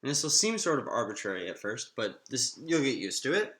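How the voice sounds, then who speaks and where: Man lecturing in an echoey room, animated pitch. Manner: halting, thinking aloud with drawn-out pauses. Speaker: math lecturer. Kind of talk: informal lecture, working through a derivation aloud. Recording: roomy, echoing room sound.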